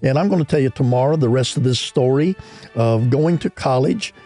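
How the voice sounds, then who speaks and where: A man hosting a short religious radio program, reading a missionary's story aloud in a steady, measured way. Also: mid-range voice, soft background music. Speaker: radio ministry host.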